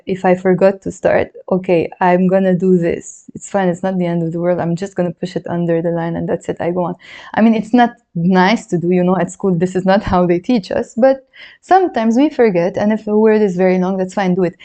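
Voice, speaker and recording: A woman's voice: fairly low pitch, mellow. A woman who is a native Arabic speaker with a Lebanese accent, teaching handwriting in a chatty, encouraging way and counting along as she writes. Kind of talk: handwriting lesson, demonstrating while talking.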